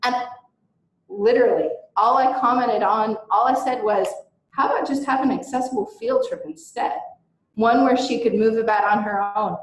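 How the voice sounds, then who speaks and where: A woman's voice: on the high side, normal speed. A woman giving a recorded presentation as an educator and advocate, speaking in an earnest, emphatic, conversational way. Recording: very echoey room.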